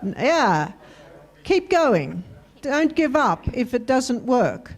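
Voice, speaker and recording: Female co-facilitator, slow measured pace, step-by-step, prompts the group. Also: high voice, very animated. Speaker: workshop co-facilitator. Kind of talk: interactive workshop teaching. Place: university hall workshop.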